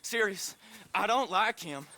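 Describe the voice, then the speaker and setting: Game role: scared young rural American outlaw prisoner, whiny, with wildly animated pitch. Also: male voice, a little slow, exaggerated voice. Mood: pleading. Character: scared, pleading young male outlaw captive. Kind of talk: dramatic video game acting.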